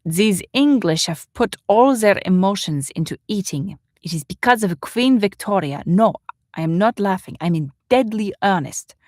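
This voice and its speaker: A woman's voice: mid-range voice, animated, normal speed, a little mellow, no room sound, clean studio sound, natural voice. Woman acting emphatic German waiter, deadly earnest. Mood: earnest disgust. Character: disgusted, earnest German male waiter, lecturing. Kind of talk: audiobook character voice.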